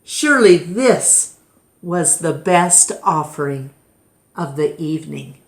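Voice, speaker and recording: A woman reading a children's story aloud expressively, with deliberate, dramatic phrasing. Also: sits low, animated. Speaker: storybook reader, storytime host. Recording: echoey room.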